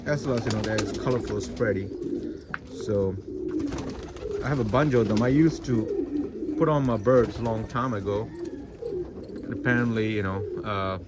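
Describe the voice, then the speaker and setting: A man from India, his voice sitting a little low, wanders through a casual chat about his pigeon loft. He talks in loose, rambling bits with plenty of restarts. Cooing and flapping birds fill the outdoor backyard around him. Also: normal speed, long pauses. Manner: rambling, many fillers and restarts. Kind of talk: casual vlog walkthrough. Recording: loud bird noise outdoors.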